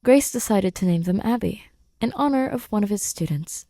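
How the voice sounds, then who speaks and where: A woman's voice: mid-range voice, lively intonation, moderate pace, mellow, dry recording, studio quality. A woman reading fan fiction aloud as a podfic narrator, in scripted storytelling style.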